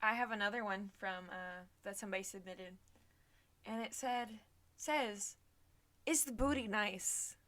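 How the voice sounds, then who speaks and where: A woman's voice: mid-range voice, measured pace, crowd noise. A young adult woman co-hosting a podcast, reading listener questions with a teasing, playful manner. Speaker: podcast co-host. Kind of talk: casual podcast chat.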